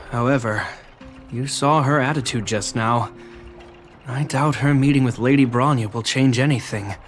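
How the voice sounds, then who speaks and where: A composed, earnest man in a video game played by an actor, a caring younger brother. His speech is formal and thoughtful at an average pitch, with soft music beneath. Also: measured pace, long pauses, close to an everyday voice. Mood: resigned. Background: faint music.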